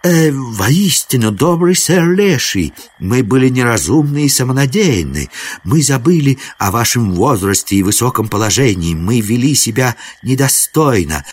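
A man's voice: mid-range voice, very animated, moderate pace, slightly rough, pitched above the performer's own voice. A man voicing a gallant, earnest young foreign prince with ornate, formal knightly speech in an audiobook tale. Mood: solemn apology.

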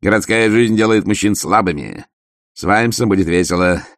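A man voicing an arrogant, self-assured young nobleman who speaks calmly and coolly. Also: on the low side, moderate pace, no room sound, studio quality, natural voice. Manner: cool, self-assured, calm. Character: arrogant young nobleman, werewolf son, fitness-obsessed. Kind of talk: audiobook character voice.

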